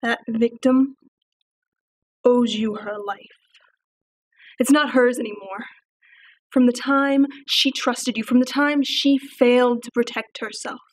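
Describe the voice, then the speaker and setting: A woman playing a quiet, cold killer. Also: fairly high pitch, fairly even intonation, recorded from a distance, poor audio. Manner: quiet, halting, hushed. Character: cold, detached killer musing on murder. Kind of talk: audition monologue.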